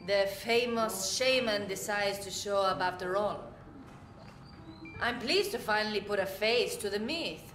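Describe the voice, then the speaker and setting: A woman's voice: mid-range voice, unhurried, music in the background, natural voice. A woman playing an imperious, impatient businesswoman crime boss in a video game, haughty and dismissive. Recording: echoey room, clear background ambience.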